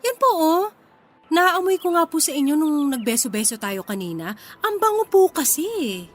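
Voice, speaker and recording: A woman's voice: high-pitched, very animated, normal speed, soft background music. A woman playing a resentful young wife and mother in a Filipino radio drama, polite but needling, with a Filipino accent. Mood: feigned innocence. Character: resentful young wife and mother, sarcastically sweet then confrontational.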